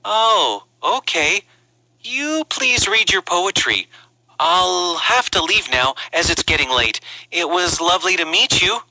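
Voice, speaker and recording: A man voicing a polite, gentle young prince in a storybook fairy tale, with music behind him. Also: high-pitched, big pitch swings, slow, no room sound, voice pushed up, full character voice, poor audio. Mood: gentle, concerned. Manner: gentle, courteous, well-mannered. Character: polite, courteous young prince seeking a bride.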